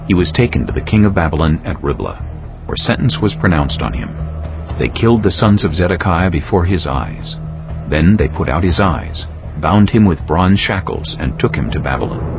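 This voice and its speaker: A man narrating scripture for a dramatized audiobook in a solemn, deliberate way with dramatic phrasing. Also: very deep voice. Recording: dull lo-fi audio, music bed. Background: prominent background music.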